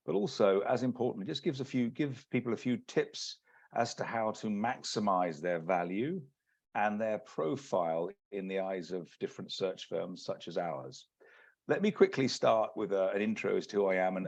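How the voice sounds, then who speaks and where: A man from the UK with a British accent, giving a measured, businesslike webinar presentation as an executive search partner. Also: lowish voice, a little mellow. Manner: measured, businesslike, many restarts. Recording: lo-fi video call audio.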